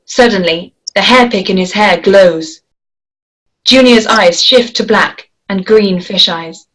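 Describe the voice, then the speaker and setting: A woman's voice: medium pitch, takes it slowly, a bit bright, poor audio. A woman reading stage directions as scripted narration at a table read. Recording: faint noise and clicks.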